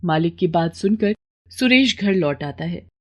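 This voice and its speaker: A woman's voice: fairly low pitch, animated, unhurried. A woman narrating a scripted moral story as a storyteller.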